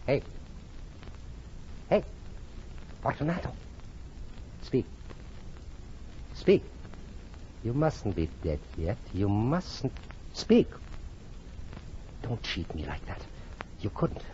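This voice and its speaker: A murderous nobleman in an old radio play, slow and deliberate, with sly false courtesy. His theatrical delivery carries cruel glee through a muffled, noisy vintage broadcast. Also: fairly low pitch, sing-song, dark tone, exaggerated voice. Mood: frantic, cheated. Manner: sly, mock-friendly, theatrical. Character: vengeful murderous Italian nobleman, mock-courteous, gleefully cruel. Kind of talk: 1940s radio drama acting.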